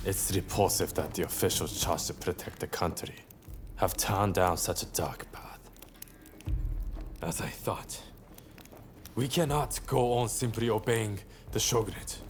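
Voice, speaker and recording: Low-voiced, confident young samurai rebel played by an actor. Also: male voice, close to an everyday voice. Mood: grave, resolute. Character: bold young male samurai rebel leader, charming and confident. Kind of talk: video game cutscene acting.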